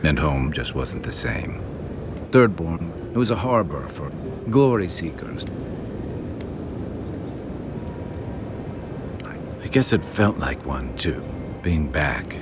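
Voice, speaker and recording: An actor playing a weary, reflective seafaring companion in a fantasy game, musing in a measured, plain-spoken way. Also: male voice, deep voice. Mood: brooding. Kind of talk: video game dialogue acting. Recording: clear background noise, game ambience.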